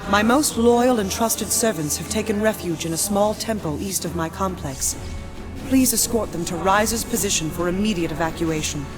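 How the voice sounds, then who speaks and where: Woman as a solemn noble princess over loud music. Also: mid-range voice, moderate pace, close to an everyday voice. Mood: solemn, earnest. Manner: formal, solemn, gracious. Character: noble, earnest princess leading a faithful people. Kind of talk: video game cutscene voice acting.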